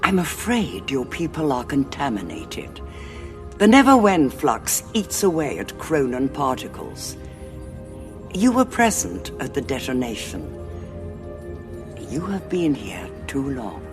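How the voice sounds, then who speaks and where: Olestra, a cold war cardinal. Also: female voice, extremely low pitch, very animated, heavily acted. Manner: measured, aloof and authoritative. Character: cold scheming woman war cardinal, commanding. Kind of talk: audio drama acting. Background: music score.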